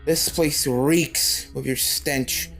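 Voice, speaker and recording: A woman voicing a cruel, unhinged female unicorn villain with a raspy, sneering, taunting delivery. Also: a bass voice, steady tone, very slow, fairly bright tone, soft background music, full character voice.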